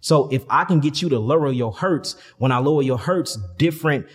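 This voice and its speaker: A man's voice: a little high, fairly quick, husky. A man in his thirties from St. Louis, speaking in an impassioned, slangy, explanatory teaching style with preacher-like build-ups. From St. Louis, Missouri, USA. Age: 30s. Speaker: interview guest, health and consciousness teacher. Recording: long hall-like reverb.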